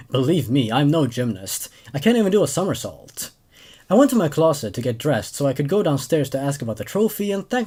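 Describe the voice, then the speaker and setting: A young man narrating a story as host, reading expressively and in a measured way with dramatic pauses. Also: medium pitch, warm tone, husky. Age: young adult. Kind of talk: story narration with casual commentary.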